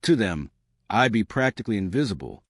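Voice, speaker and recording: A man's voice: lowish voice, sing-song, a little fast, no room sound, studio quality. A man voicing a small, fierce woman space captain in an audio story, wry, teasing and confident. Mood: cocky, determined.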